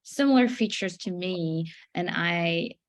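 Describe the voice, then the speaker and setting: A woman's voice: lowish voice, slow pace. A woman from Syria asking a question in a medical seminar, searching for her words and restarting often.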